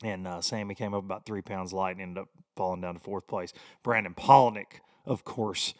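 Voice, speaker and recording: A man in his forties with a Southern American accent, hosting a podcast in a rambling, folksy way, his voice a bit hoarse. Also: low voice, fairly even intonation, normal speed, no room sound, studio quality. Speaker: podcast host. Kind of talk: casual podcast monologue. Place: small home studio. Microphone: studio mic with headphones.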